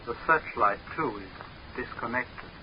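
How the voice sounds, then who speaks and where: An actor playing a coldly vengeful, fatalistic young man, deliberate and mocking, in a 1940s radio horror style. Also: medium pitch, expressive. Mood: ominously calm. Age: young adult. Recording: muffled, noisy 1940s radio recording.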